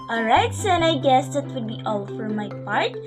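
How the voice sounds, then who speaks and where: High-pitched, lively woman presenting a lesson. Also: moderate pace. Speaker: student presenter acting as teacher. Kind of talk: lesson presentation, partly read aloud. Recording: loud music behind the voice. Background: music.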